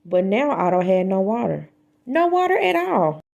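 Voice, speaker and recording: Female children's read-aloud narrator, mid-pitched and very animated, with deliberate dramatic emphasis. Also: vocal fry, highs cut off. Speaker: storyteller reading a children's book aloud.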